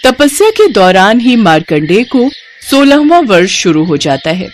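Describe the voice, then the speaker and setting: A woman's voice: mid-range voice, sing-song, unhurried, slight background noise. A woman narrating a devotional story in a scripted storytelling style.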